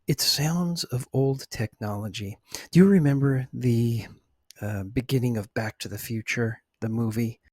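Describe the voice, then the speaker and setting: A middle-aged man talking softly and unhurriedly in a relaxed, reflective ASMR chat, with a slightly husky voice. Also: medium pitch, measured pace, dry recording, studio quality. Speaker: ASMR video host.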